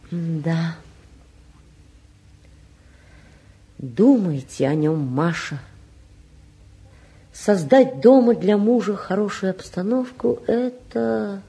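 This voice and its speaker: A woman's voice: fairly low pitch, mellow, slightly rough, exaggerated voice. An actress playing a proud, worried middle-aged mother with an emphatic, formal manner in a 1950s Soviet radio drama. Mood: resigned, formal.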